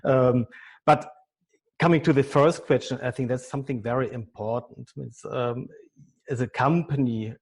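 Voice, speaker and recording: A husky, slightly breathy male voice with a German accent. He is a middle-aged consultant giving a podcast interview, and he talks haltingly, often restarting and repeating words as he searches for the English phrasing. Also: mid-range voice, steady tone. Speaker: business consultant and coach.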